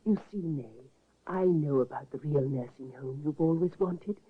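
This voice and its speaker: An actress in a 1950s radio drama playing an earnest, gentle grown daughter, heard through a tinny old broadcast. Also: female voice, low-pitched, moderate pace, slightly rough.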